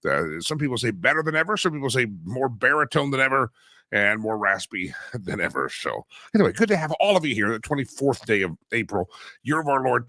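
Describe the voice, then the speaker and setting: A man hosting a talk show with a lively, bouncing delivery. His voice is bright and a little hoarse from a cold, and he rambles and jokes with plenty of fillers. The sound is dry and close on a studio mic. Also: mid-range voice, no room sound. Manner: rambling, joking, filler-heavy. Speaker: radio and stream host. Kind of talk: casual talk show monologue.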